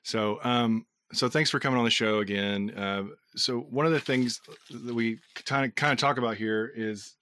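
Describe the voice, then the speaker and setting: A man's voice: medium pitch, fairly even intonation, normal speed, fairly bright tone, no room sound. A man hosting a casual podcast interview in an informal, effusive, rambling way. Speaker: podcast host.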